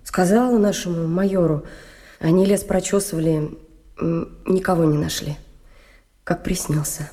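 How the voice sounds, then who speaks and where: An actress in a Russian radio play playing a shaken but stubborn woman in wartime, halting and repeating her words. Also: fairly low pitch, fairly even intonation, warm tone, close to an everyday voice. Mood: bitter, haunted recollection.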